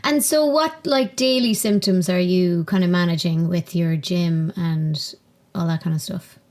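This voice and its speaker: A woman podcast host interviewing in a casual, tentative way, softening her questions. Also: lowish voice, a little slow.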